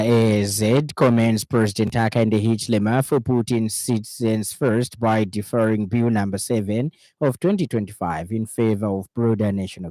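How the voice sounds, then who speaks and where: A man reading a scripted radio news bulletin in a formal, measured manner as presenter. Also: lowish voice, darkish tone, dry recording, rough recording.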